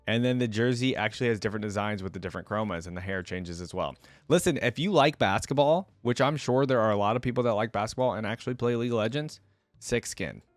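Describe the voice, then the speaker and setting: A man with a fairly low voice talks over music as the host of a reaction video. His commentary is casual and informal, sprinkled with slang. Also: fast talker, no room sound.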